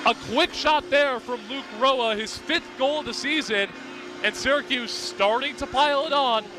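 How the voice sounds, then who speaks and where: A man's voice: extremely high pitch, moderate pace, music in the background. A young man doing live radio play-by-play commentary in clipped, vivid present-tense calls. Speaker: play-by-play announcer. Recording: outdoor broadcast with clear background noise.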